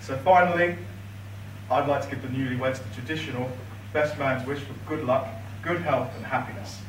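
A young man in his late twenties giving a best man's speech from notes at a wedding reception, with a dry, teasing delivery and pauses for laughter. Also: mid-range voice, fairly even intonation, background noise. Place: large reception room with high ceiling, indoors. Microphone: far camera across the room, no mic. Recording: echoey room from far off. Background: guests laughing, room ambience.

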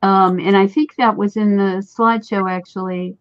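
A woman's voice: mid-range voice, steady tone, moderate pace, no room sound, soft background music. A woman moderating a question session over a video call, friendly and organized. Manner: friendly, organized, a few restarts. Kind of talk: moderating online Q&A. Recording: dry dull video call audio.

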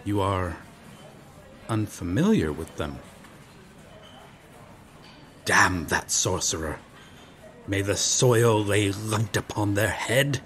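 A noble, earnest knight from medieval times, acted by a man for an audio roleplay. He speaks very slowly in a fairly low voice, with formal, old-fashioned phrasing and grave courtesy. Some ambient sound sits behind him. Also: fairly bright tone, a little hiss or hum. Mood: angry. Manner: formal, archaic, solemn speech. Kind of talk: ASMR audio roleplay, dramatic monologue.

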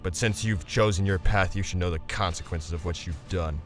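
A man acts out a brooding, hot-tempered teenage sports star for an anime parody. He speaks with pompous, melodramatic scorn. Music plays clearly behind his voice. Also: low voice, fairly even intonation, normal speed, close to an everyday voice. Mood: scornful. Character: brooding, arrogant, hot-tempered teenage male volleyball player. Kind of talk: melodramatic comedic fan-dub acting. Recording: music under the voice.

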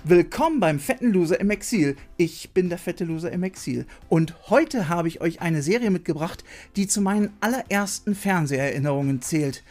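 A man's voice: high-pitched, lively intonation, moderate pace, few pauses, a little mellow. A middle-aged man presenting a scripted video essay as host of a retro TV channel, recorded on a desk mic in a small room. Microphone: desk microphone. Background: music.

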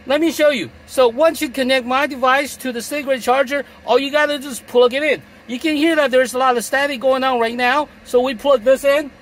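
A man's voice: extremely high pitch, steady tone, fairly quick, few pauses, fairly bright tone, slight background noise, rough recording. A middle-aged man demonstrating a gadget from inside a parked car, explaining in a repetitive, salesy way. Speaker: product demonstrator. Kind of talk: product demo.

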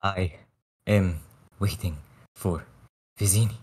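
A man voicing a stubborn, drunken, vengeful swordsman in a husky voice at a script table read. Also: sits low, fairly even intonation, slow pace, darkish tone, heavily acted. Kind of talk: live table read of a screenplay over video call. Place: home rooms, online video call.

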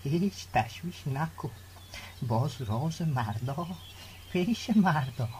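A man's voice: a little high, expressive, a little mellow, a little hiss or hum, lightly acted. An actor playing a courteous middle-aged village father in a radio drama, with an Afghan Dari accent. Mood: eager. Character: courteous village father seeking a bride for son.

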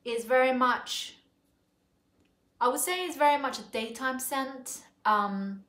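A woman's voice: sits high, takes it slowly, fairly bright tone. A woman in her forties from Thailand, reviewing a perfume in a casual, musing way, with many fillers and restarts, recorded at home on a sofa. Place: living room sofa, indoors. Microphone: camera in front at close range. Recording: echoey room.

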